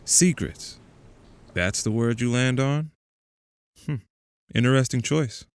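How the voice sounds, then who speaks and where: A man's voice: medium pitch, slow pace, smooth, no echo. A man playing a bitter, sardonic conspiracy believer in an audio drama, talking in an accusing, emphatic way. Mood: insistent, challenging. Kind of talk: audio drama, naturalistic phone conversation.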